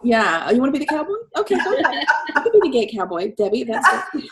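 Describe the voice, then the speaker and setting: A woman in her forties with a cold, hosting a casual video call chat, chatty and quick to laugh. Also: a little high, big pitch swings, heavy background noise. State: snuffly from a cold. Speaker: host.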